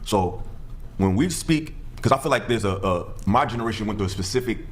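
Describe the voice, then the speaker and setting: A man in his late thirties in a panel discussion, telling stories fast and acting out quotes. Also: on the low side. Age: 30s. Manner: fast, animated storytelling with acted-out quotes.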